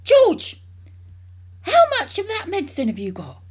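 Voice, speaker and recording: A woman voicing a small, excitable father who shouts and exclaims wildly in a storybook reading. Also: very high voice, a little slow, voice pushed up, full character voice. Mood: eager. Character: excitable, easily worked-up small man, a father.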